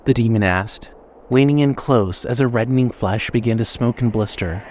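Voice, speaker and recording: A man's voice: medium pitch, moderate pace, darkish tone, a little hiss or hum. A man narrating a scripted horror story with dramatic storytelling delivery.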